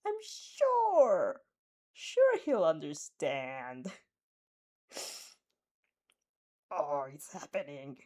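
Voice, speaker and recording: A woman's voice: medium pitch, darkish tone. A young woman reading a fanfic aloud, often breaking off to laugh and react, stumbling and restarting as she goes.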